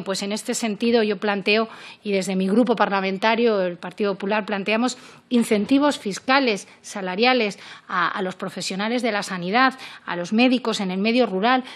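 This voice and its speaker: A middle-aged woman with a central Spanish accent giving a formal political speech at a committee desk microphone, earnest and somewhat halting as she works from notes. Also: mid-range voice. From Castilla-La Mancha, Spain. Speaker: member of parliament. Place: parliamentary committee room. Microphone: gooseneck desk microphone.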